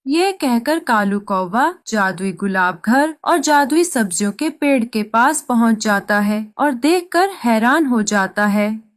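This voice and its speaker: Female narrator for an animated fable: higher-pitched voice, scripted storytelling read, very dry recording. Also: slow pace, few pauses, dry recording.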